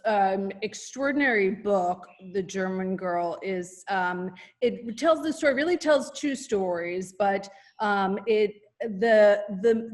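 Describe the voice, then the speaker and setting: A woman interviewing an author in an earnest, halting way. She stops to restart her sentences and leans on fillers. Her voice sits at a middle pitch. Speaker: interviewer, radio host. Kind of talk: conversational interview.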